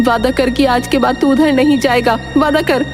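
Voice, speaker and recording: A woman's voice: high voice, fairly even intonation, normal speed, long reverb tail, music in the background, voice pushed up, lightly acted. A woman voicing a worried, stern village mother who scolds insistently in a story.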